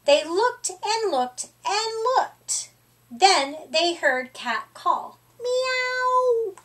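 A middle-aged woman storyteller reads to young children. Her voice is high and swoops expressively, and she takes her time over every phrase. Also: expressive, drawn-out words, a bit bright. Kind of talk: reading a children's picture book aloud. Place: small room, indoors.

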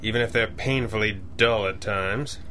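An actor playing a grouchy, commanding masked crime-fighter with dry, formal speech in a 1930s-style radio drama. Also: male voice, fairly low pitch, normal speed, bright, forceful tone, a little hiss or hum.

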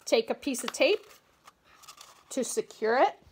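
A woman educator giving a clear, patient, step-by-step craft demonstration at a table indoors. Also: sits high, lively intonation, unhurried, background noise. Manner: clear, patient instructional delivery. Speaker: agriculture educator. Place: small indoor room, table-top demo.

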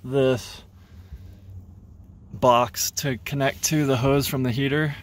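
A man in his thirties talking casually to camera as a vlogger, in a low-key, halting, matter-of-fact way. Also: fairly even intonation. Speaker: vlogger, host. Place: inside a truck, parked or in traffic. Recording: vehicle noise behind phone-recorded speech.

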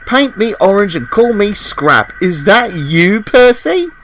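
A man's voice: medium pitch, lively intonation, unhurried, a bit bright, slight background noise. Man voicing a wry, grumbling tank engine. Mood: astonished. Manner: wry, complaining. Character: grumbling, self-pitying, wry male tank engine Ginty.

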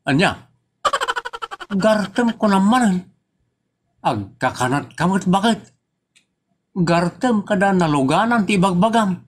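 A man plays a worried, bickering young husband in a comedy drama. His Ilocano-accented voice is very high for a male. He presses insistently with questions, over slight background noise. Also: animated, takes it slowly, long pauses. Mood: accusing.

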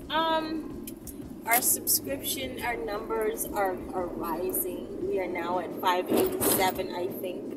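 A woman in her thirties chatting casually and candidly to camera, answering viewer questions while driving. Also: medium pitch. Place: inside a moving car, daytime. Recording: distant mic, loud car road noise. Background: vehicle and road noise.

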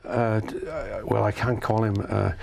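A man speaking as a festival organizer guest on a local talk show, halting and full of fillers. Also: on the low side, level tone, creaky, a crowd in the background. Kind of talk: casual chat.

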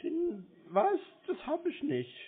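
A man playing a dim, stubborn young man who stalls a caller in a slow-witted, literal way on a prank phone call. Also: wildly swooping pitch, heavily acted. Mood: puzzled. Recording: muffled low-bandwidth phone call.

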